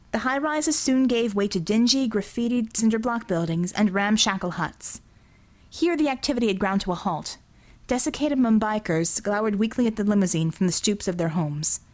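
A woman reading dramatic fiction as an audiobook narrator, deliberate and expressive in her storytelling. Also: mid-range voice.

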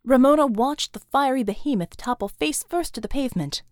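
A woman's voice: high voice, lively intonation, a little fast, dry recording, clean studio sound. A woman reading scripted action fiction as a dramatic audiobook narrator.

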